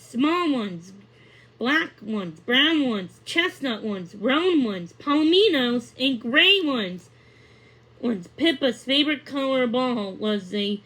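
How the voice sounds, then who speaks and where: A woman narrating a children's book aloud, reading haltingly with stumbles and repeated words. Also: high voice, a bit bright, poor audio.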